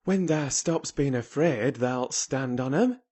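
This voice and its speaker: A man's voice: fairly high pitch, big pitch swings, a little slow, warm tone, husky, pitched above the performer's own voice, full character voice. A man voicing a sturdy Yorkshire country boy, cheerful and stoutly encouraging, in a storybook reading.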